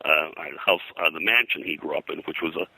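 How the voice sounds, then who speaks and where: An older man being interviewed as a historian, telling anecdotes in a halting, rambling way and often clearing his throat. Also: extremely low pitch, sharp tone, dry recording, poor audio. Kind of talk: anecdotal interview talk about old-time radio and pulps.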